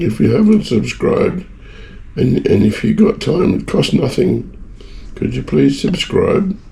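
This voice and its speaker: Hoarse, gravelly man with a lowish voice, chatty hobbyist explaining his lab work, a bit of faint noise behind. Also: dark tone. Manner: chatty, rambling, self-mocking asides. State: hoarse, losing his voice from a cough. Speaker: hobbyist gold refiner and video maker. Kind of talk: casual talk-through of a lab process.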